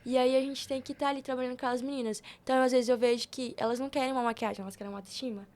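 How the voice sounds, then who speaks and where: A woman's voice: sits high, fairly even intonation, very fast, darkish tone. A young Brazilian woman telling personal stories casually as a podcast guest, slangy and often retelling conversations as dialogue. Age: young adult.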